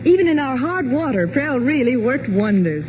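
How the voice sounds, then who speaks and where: A satisfied housewife in a 1940s radio advertisement. Her delivery is lively and scripted. The sound is muffled and noisy. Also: sits high, normal speed. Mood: pleased. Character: pleased housewife giving a shampoo testimonial.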